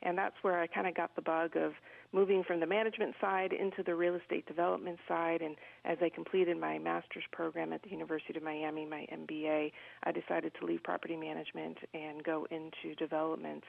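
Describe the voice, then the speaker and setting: Gravelly woman on a narrow radio line. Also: low-pitched, level tone, fairly quick. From Colombia. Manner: earnest, articulate, frequent ums. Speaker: business guest. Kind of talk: interview conversation. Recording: phone-like narrow radio sound.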